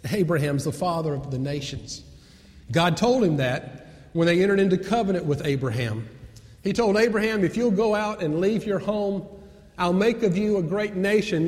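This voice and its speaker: A man's voice: high-pitched, a little mellow. A man preaching a sermon with storytelling, repeating key phrases for emphasis. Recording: echoey hall with long reverb.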